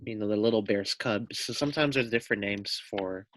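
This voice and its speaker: A man's voice: on the low side, a little fast, a bit bright, slight background noise. A man reading a children's story aloud expressively, with simple explanatory asides for young listeners.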